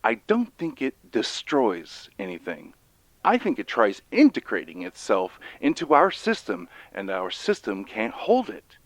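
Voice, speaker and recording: A man's voice: lowish voice, wildly swooping pitch, measured pace, no room sound, exaggerated voice. An actor playing an earnest male professor who explains urgently and emphatically in an audio drama. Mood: grave warning. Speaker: professor, researcher. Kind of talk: dramatized audio fiction monologue.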